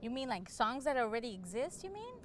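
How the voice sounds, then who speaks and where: A woman's voice: on the high side, very animated, brisk. Middle-aged woman thinking aloud, background noise. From El Salvador. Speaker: interview guest, law enforcement candidate for office. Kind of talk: casual, candid interview answers.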